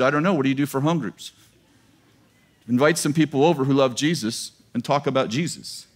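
Man, fifties, pastor, sermon, casual and joking, headset mic, big church hall. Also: mid-range voice. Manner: conversational and joking, laughing at his own lines. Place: large church auditorium with a live congregation.

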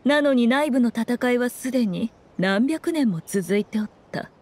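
A woman voicing a sly, regal fox shrine maiden in an anime game, with measured, knowing, old-fashioned diction. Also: a little high, expressive, darkish tone. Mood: explanatory, composed. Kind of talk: Japanese anime game voice acting.

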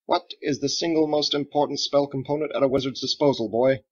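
A man's voice: mid-range voice, steady tone, a little fast, highs cut off, voice pushed up, natural voice. A man voicing a playful, theatrical cook who teases slyly and pretends at wizardry in a storybook reading. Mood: quizzing. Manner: teasing, sly, hammy.

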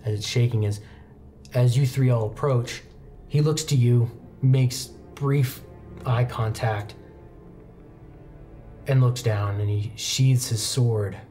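A young man with a mellow, dark-toned voice runs a tabletop roleplaying game as game master. He tells the story in measured phrases with pauses, and soft music plays behind him. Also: mid-range voice, fairly even intonation. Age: young adult. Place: indoor studio set, seated at a desk. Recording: background music under the voice.

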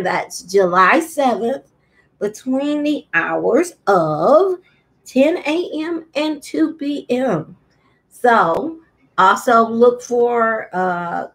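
A middle-aged American woman, an early childhood teacher, giving an informal video message to parents and toddlers in a deliberate, halting, sometimes singsong way. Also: a little high. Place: small indoor room at home. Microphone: laptop webcam at arm's length.

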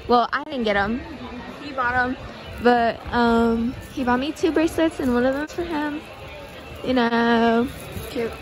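A woman's voice: a little high, measured pace, music in the background. A young woman vlogging casually, chatting upbeat with lots of filler words, filmed on a phone held at arm's length. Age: young adult. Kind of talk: casual vlog chat. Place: outdoor flea market, later indoors at home.

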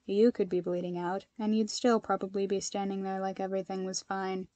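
A woman voicing a tired, dry-witted young hero in a flat, deadpan manner. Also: medium pitch, a little fast, no room sound, natural voice.

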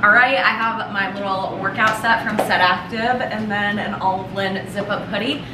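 A woman's voice: medium pitch, steady tone, moderate pace. An American woman in her twenties chatting casually as a vlogger. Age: 20s. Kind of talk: casual vlog chat. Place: indoors at home, rooms and kitchen. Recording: roomy home echo, some background noise.

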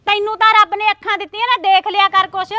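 A woman acting a sharp-tongued village wife who scolds with biting, quick retorts. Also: very high-pitched, flat delivery, no room sound. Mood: scolding. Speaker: actor in a family quarrel skit.

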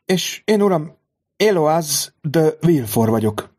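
A high, strained noblewoman's voice, breathless and pleading, as a distraught mother in a classic novel read aloud by a man. The tone sits far above a low reading voice and rises with emotion. Refined and formal in her address. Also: very animated, a little mellow. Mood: grateful. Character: distraught aristocratic young mother, high-strung lady.

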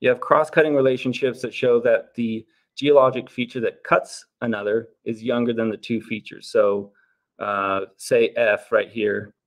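A man in his thirties giving an informal debate presentation in a halting, explanatory way. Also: medium pitch. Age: 30s. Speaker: debater arguing for evolution. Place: indoors, on a video call.

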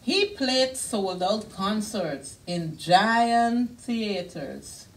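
Woman, middle-aged, children's storyteller, unhurried delivery, lively rise and fall, small room, distant microphone. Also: medium pitch, slight background noise. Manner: deliberate, expressive, pausing to comment on pictures. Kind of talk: picture-book read-aloud for young children.

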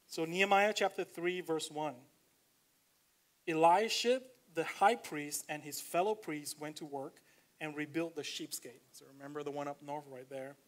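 A man with a Malaysian accent preaching a casual, conversational sermon in a church, reading scripture aloud with frequent fillers. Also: fairly high pitch. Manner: conversational, filler-heavy, slows down to stress points. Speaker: pastor.

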